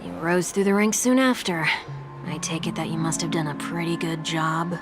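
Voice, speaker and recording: Actress as a confident, teasing game heroine, low-voiced. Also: female voice, steady tone, moderate pace, music in the background, close to an everyday voice. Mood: wry, teasing. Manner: cool, playful, assured. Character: confident, teasing adult woman in a fantasy sci-fi game. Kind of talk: scripted video game voice acting.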